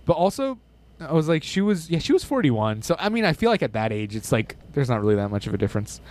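A man's voice: fairly high pitch, sing-song, quick pace, darkish tone. A young man hosting a casual podcast chat, swearing freely and reading out lists of names and numbers. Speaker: podcast host.

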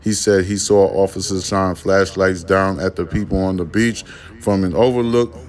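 A man's voice: deep voice, fairly even intonation, moderate pace. Blunt, profane man ranting on his podcast. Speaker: podcast host. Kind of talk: casual opinion monologue.

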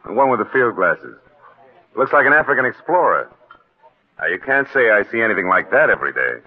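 Tinny old radio play, a smooth deep husky husband, easygoing and suave, secretly scheming. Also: male voice, big pitch swings, fairly quick. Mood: solicitous. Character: smooth, agreeable young ski-instructor husband, secretly scheming. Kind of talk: 1940s radio drama acting. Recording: muffled phone-like vintage radio.

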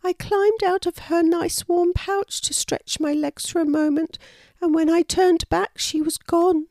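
A woman's voice: extremely high pitch, fairly even intonation, unhurried, a little mellow, no room sound, voice pushed up. A woman voicing a tiny, plaintive young female wallaby in a children's storybook, pleading like a lost child. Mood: sad, pleading.

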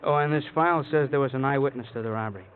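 Guy as a breezy sarcastic detective, talks fast, thin crackly old radio sound. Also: mid-range voice, close to an everyday voice. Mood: breezy, dry. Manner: clipped, casual, drily needling. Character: breezy, sardonic, talkative police detective sidekick. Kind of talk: 1950s radio crime drama acting. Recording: old radio, phone-like band, faint noise.

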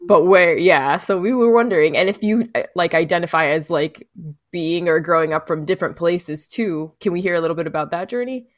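A woman hosting a casual interview, asking questions and affirming her guest warmly. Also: on the low side, a little fast. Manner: encouraging, affirming. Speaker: host.

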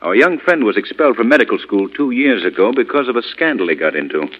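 An actor playing a shrewd, urbane, confident detective in an old radio drama, reasoning briskly. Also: male voice, mid-range voice, expressive, close to an everyday voice. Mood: measured, sceptical. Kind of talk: 1940s radio drama acting. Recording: dull vintage radio recording.

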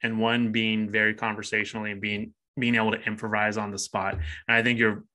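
A man in his thirties co-hosting a podcast, chatting casually, animated and quick to laugh. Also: fairly low pitch. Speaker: podcast co-host. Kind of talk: casual chat.

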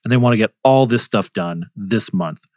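A man's voice: on the low side, moderate pace, darkish tone, no room sound, clean studio sound. A man hosting a conversational political news discussion, asking questions in a rambling, self-interrupting way. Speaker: host.

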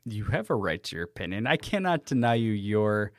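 A man co-hosting a casual podcast, talking in an easygoing, drawn-out way with some swearing. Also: lowish voice, very animated, no room sound, clean studio sound. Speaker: podcast co-host. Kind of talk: casual chat.

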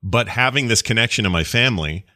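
A man's voice: lowish voice, animated, quick pace, sharp tone. A man from the United States hosting an interview, speaking earnestly and at length in a casual, rambling way. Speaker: interviewer host.

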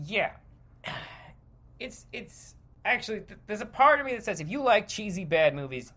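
Animated, bright-voiced man ranting. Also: fairly high pitch. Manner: sweary, opinionated. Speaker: podcast host. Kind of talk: casual stream-of-consciousness movie rant.

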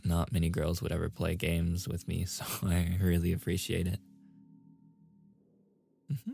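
A man's voice: deep voice, completely flat delivery, a little slow, vocal fry, warm tone, heavily acted. A man playing a playful, easily flustered young gamer boyfriend in a casual, intimate ASMR roleplay. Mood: cocky, teasing. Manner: casual, intimate, with chuckles and sighs.

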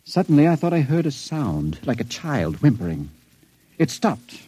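Mellow, guarded patient. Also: male voice, medium pitch, sing-song, slightly rough. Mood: uneasy, confiding. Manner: measured, cautious, educated. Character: intelligent, sensitive, guarded male addict, long-term patient. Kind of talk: old-time radio drama acting.

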